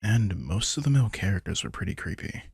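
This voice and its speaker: Low, gravelly vampire acted by a man, sardonic. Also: animated, fairly quick, darkish tone, dry recording, heavily acted. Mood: teasing. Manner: casual, dry, sweary, teasing. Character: centuries-old male vampire, sardonic, teasing yet protective. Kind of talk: intimate roleplay audio drama, conversational acting.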